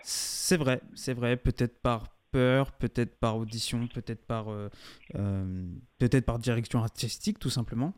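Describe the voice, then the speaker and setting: A young man hosting a local radio interview, polite and halting, often repeating himself. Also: mid-range voice, steady tone, smooth. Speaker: radio host.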